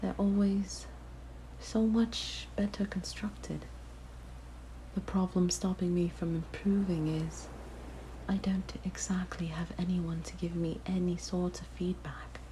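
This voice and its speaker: A woman voicing a possessive, curious siren monster in an ASMR roleplay, speaking in a soft, breathy whisper with a gentle, coaxing manner. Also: on the low side, steady tone, takes it slowly, a little hiss or hum, poor audio. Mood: shy, wistful. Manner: gentle, wistful, fascinated, coaxing. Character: possessive, curious young female siren monster, softly luring. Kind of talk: ASMR roleplay, intimate monologue.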